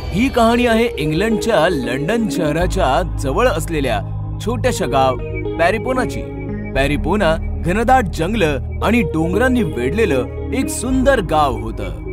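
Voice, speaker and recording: A man narrating a scripted horror story as a storyteller. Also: sits high. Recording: music behind the voice.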